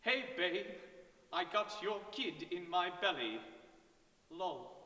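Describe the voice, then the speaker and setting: A man's voice: high voice, animated, slow pace, sharp tone, full character voice. A man voicing a ditzy, dramatic young pregnant woman in a melodramatic comic story reading. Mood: flippant, pleased. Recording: reverberant hall.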